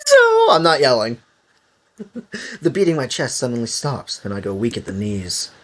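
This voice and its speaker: A young man with an Italian accent, reading a visual novel aloud on stream, stumbling over words and breaking into loud outbursts. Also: mid-range voice, wildly swooping pitch, moderate pace.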